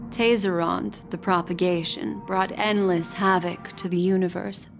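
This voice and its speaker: Composed wise game lady, very slow careful delivery, music behind her. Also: medium pitch, vocal fry. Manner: measured, deliberate, articulate. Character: composed, knowledgeable woman explaining grave galactic conspiracies. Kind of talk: video game voice acting. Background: game soundtrack music.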